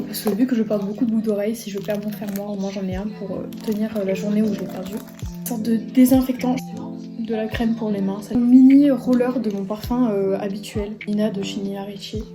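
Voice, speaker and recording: A woman's voice: mid-range voice, fairly even intonation, fairly quick, over music. A teenage girl vlogging in a casual, chatty way, with lots of little fillers as she talks. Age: teens. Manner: chatty, bubbly, lots of fillers like euh and bah. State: stuffy nose, losing her voice. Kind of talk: casual vlog chat.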